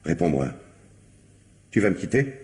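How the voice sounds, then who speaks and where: An actor playing a patient, wounded middle-aged husband in a French radio mystery, earnest, questioning and pleading. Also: male voice, deep voice, big pitch swings, normal speed, darkish tone. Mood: hurt, pleading.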